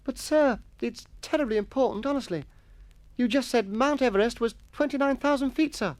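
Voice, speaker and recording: A man's voice: very high-pitched, a little fast, darkish tone, dry recording, pitched above the performer's own voice. A man reading a story, voicing an eager, earnest schoolboy who keeps pleading with his teacher. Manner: breathless, earnest pleading with sir. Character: eager chattering schoolboy Jennings, cheeky. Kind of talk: audiobook character voice.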